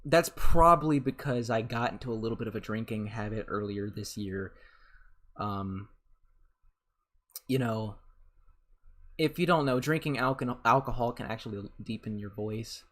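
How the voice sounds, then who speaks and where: Young dude in his 20s, medium-pitched voice gone a bit deeper, rambly gamer chat w/ some swearing. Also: normal speed, long pauses, fairly bright tone. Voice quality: voice has grown deeper. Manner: rambling and chatty, with casual swearing. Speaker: gaming YouTuber. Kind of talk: casual gameplay commentary and Q&A.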